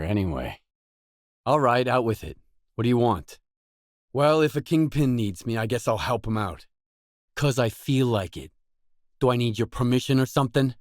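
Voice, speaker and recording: An actor playing a guarded, dry, tough stalker mercenary in a video game, with terse, blunt delivery. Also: male voice, lowish voice, darkish tone, dry recording. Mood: curious, businesslike. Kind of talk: video game voice acting, isolated dialogue lines.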